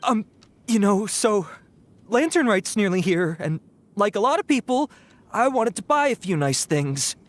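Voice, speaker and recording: A man's voice: high voice, sing-song, measured pace. A man voicing a timid young bandit in a video game, flustered and rambling, full of fillers. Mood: sheepish. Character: timid young male bandit caught red-handed. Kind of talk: game voice acting.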